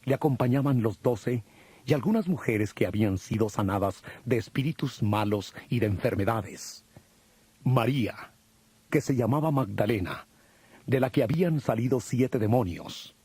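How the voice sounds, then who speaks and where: A man narrating a dramatized audio Bible in a solemn, measured storytelling manner. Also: mid-range voice, lively intonation, darkish tone, breathy edge, dry recording. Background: music.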